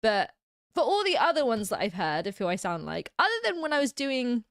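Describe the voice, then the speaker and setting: A British woman chats on her livestream, giggling often and reading comments aloud. Her fairly high voice bounces up and down, and the sound is close and dry. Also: big pitch swings, brisk, dry recording. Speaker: livestream host. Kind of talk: casual chat reacting to live comments.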